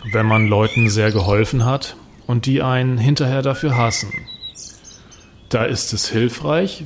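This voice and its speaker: An actor as a pompous political artist, birdsong behind. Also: male voice, mid-range voice, natural voice. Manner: pompous, self-justifying. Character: self-righteous male political action artist and informant. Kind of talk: satirical radio play monologue. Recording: clear background noise with birdsong.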